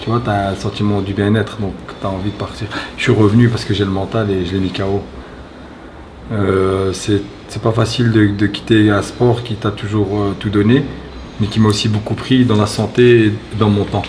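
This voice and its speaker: A low-voiced man answers an interviewer slowly and thoughtfully, stopping and restarting as he searches for words. Faint ambience hums in the background. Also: level tone, darkish tone, a little hiss or hum. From Belgium. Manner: thoughtful, halting, many fillers and restarts. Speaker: guest, retired fighter. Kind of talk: reflective interview talk.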